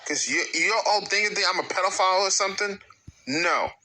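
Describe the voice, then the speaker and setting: A man of about thirty talks to his viewers in halting, repetitive sentences with broken grammar. His voice is a little high and extremely bright and sharp. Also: poor audio. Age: around 30. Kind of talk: speaking to camera in his own video.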